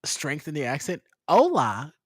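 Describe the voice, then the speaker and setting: A man chatting casually, expressive and laughing often, with many filler words. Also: fairly high pitch, unhurried, dry recording, studio quality. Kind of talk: casual chat.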